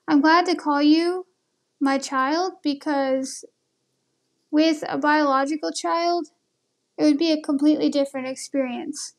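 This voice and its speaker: Woman, very high voice, casual video host, conversational questioning delivery. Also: steady tone, unhurried. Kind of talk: casual conversation with an AI companion on a phone call.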